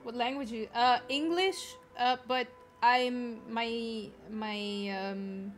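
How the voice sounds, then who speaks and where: A woman with a Flemish accent chats casually with her stream viewers, greeting newcomers. Her voice sits a little high. Faint music plays behind her. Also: fairly even intonation, slow. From Belgium. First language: Dutch (Flemish). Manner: warm, chatty greetings to viewers. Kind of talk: casual live-stream chat.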